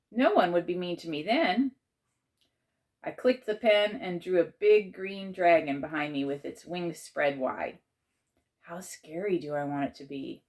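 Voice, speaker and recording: A woman reading a children's story aloud as an expressive, dramatizing narrator. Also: fairly low pitch. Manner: animated, dramatizes a child's first-person tale. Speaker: author and teacher reading aloud.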